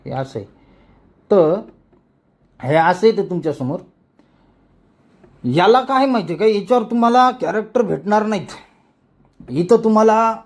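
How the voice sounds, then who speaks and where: A man's voice: sits high, darkish tone. A man with a rural Marathi accent from Maharashtra, giving a casual, colloquial step-by-step tutorial and often repeating words as he demonstrates. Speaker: animation tutorial creator.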